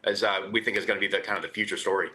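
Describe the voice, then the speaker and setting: A man giving his market views as a guest on a live business news show, talking in a rushed, stumbling, emphatic way. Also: fairly low pitch, level tone, bright, forceful tone. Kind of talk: live TV interview.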